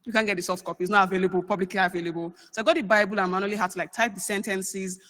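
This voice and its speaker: A woman's voice: mid-range voice, fairly even intonation, rapid-fire, fairly bright tone. A young woman giving a chatty conference research talk, full of fillers. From Nigeria. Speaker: researcher presenting.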